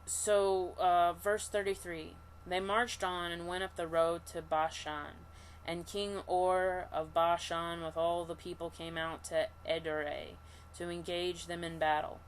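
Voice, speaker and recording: A woman with a flat, monotone voice and a lowish pitch reads scripture slowly and talks it over for an online study group. Faint noise sits behind her computer mic. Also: poor audio. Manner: halting, with restarts and fillers between readings. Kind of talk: reading scripture aloud and discussing it. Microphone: computer mic for an online session.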